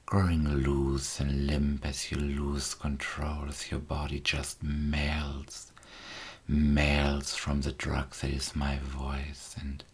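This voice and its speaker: Male hypnotist, whispered ASMR delivery, very low and flat, unhurried. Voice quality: whispered, breathy. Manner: slow, soothing, repetitive suggestions.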